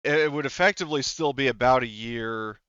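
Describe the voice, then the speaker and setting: A man's voice: medium pitch, brisk, fairly bright tone, clear, resonant tone, no room sound, muffled recording. A man acting as game master in a casual tabletop roleplaying session, explaining and thinking aloud.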